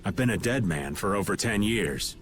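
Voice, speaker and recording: A man's voice: fairly low pitch, expressive, normal speed, soft background music, close to an everyday voice. An actor playing a scruffy joking middle-aged man with a world-weary, teasing manner in a video game cutscene. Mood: resigned. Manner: glib and teasing, turning weary.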